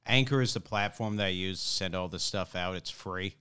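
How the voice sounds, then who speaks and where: A man's voice: sits low, brisk, sharp tone, clear, resonant tone. A man hosting a podcast in casual comedic chat, with an old-school radio voice and a dry, wry manner. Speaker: podcast host.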